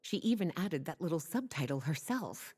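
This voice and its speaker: A languid, teasing librarian. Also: female voice, deep voice, big pitch swings, moderate pace, slight background noise. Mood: calm. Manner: languid, wry, gently teasing. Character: poised adult woman librarian, teasing and knowing. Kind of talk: game voice acting. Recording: soft game music underneath.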